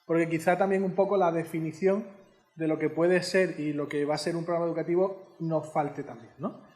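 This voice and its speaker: A man's voice: a little high, steady tone, brisk, a little mellow, reverberant. A man giving an informal lecture as an educator, chatty and teacherly, often asking his audience questions. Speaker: educator giving a heritage talk.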